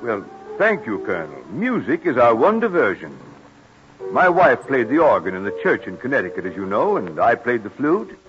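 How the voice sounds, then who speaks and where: Casting: genial, proud dad in vintage radio play, hugely varied intonation, dull lo-fi sound. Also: male voice, medium pitch, huge pitch swings, moderate pace, fairly bright tone, faint music behind. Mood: welcoming. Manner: courteous, genial. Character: genial, proud father of a teenage girl. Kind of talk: old-time American radio drama acting. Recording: muffled, lo-fi old radio broadcast.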